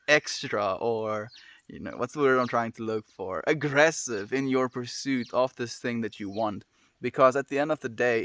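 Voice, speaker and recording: Gentle South African man on a livestream. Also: medium pitch. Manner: gentle, affectionate, says "my friend" often, light laughs. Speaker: livestream host reading chat. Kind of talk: casual livestream chat.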